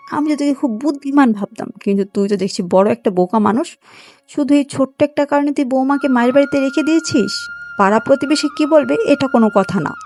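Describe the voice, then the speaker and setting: Woman acting high, scolding old village auntie. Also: darkish tone. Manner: insistent, scolding, questioning. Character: bossy, kind-hearted elderly village auntie, scolding neighbour. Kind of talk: scripted moral-story cartoon dialogue.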